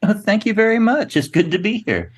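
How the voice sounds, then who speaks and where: A man's voice: high-pitched, animated, brisk, breathy edge. A man speaking casually as an interview guest, with a wry, self-deprecating way of talking. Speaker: guest playwright.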